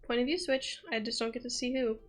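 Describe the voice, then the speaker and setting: A woman's voice: a little high, steady tone, fast, soft background music. A woman game streamer giving casual, rambling livestream commentary in an informal way.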